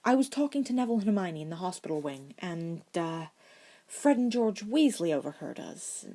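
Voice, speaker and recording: A woman's voice: fairly low pitch, big pitch swings, moderate pace, warm tone. A woman voicing an earnest, stubborn young schoolboy in an audiobook, casual and a little hesitant. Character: earnest, stubborn eleven-year-old schoolboy, slightly grumpy.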